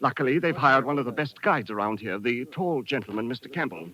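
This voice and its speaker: An actor playing a polite, long-suffering shop clerk with a formal, confiding manner in an old radio drama. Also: male voice, medium pitch, animated, fairly quick, sharp tone, rough recording. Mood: confiding, weary. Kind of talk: 1950s radio acting.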